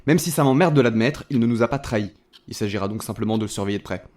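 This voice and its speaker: A man playing a cold, formal supervillain doctor in an audio drama, with measured, commanding phrasing. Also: on the low side, a little mellow, lightly acted. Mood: stern, composed.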